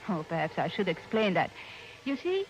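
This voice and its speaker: A woman's voice: on the low side, very animated, normal speed. A woman acting as a poised, polite young Swiss policewoman in an old radio drama, speaking in a formal manner. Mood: friendly. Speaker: visiting policewoman. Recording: noisy old-time radio recording.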